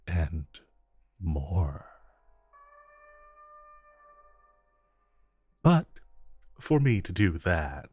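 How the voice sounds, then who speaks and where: A man playing a suave, flirtatious supervillain in a romantic audio roleplay, with a smooth, teasing drawl and deliberate pauses. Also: sits low, darkish tone, no echo, full character voice. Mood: sly, reassuring. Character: suave, flirtatious male supervillain courting his tailor.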